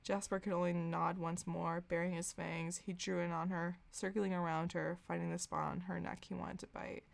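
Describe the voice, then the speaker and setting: A woman with a slightly low voice hosts a comedy podcast. She reads fan fiction aloud as mock-serious drama. Amusement often colours the narration. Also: little pitch movement, moderate pace, gravelly, hardly any pauses. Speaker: podcast host.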